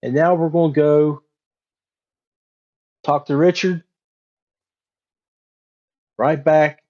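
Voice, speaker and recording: A man's voice: a little high, fairly even intonation, very slow. A man presenting a casual walk-through overview in an informal way. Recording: dull, lo-fi audio.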